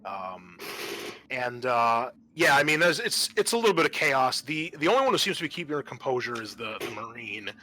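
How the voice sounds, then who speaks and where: A man narrating a tabletop roleplaying game as game master, improvising in a halting way. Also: medium pitch, very animated, sharp tone, a little hiss or hum. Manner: halting, searching for words.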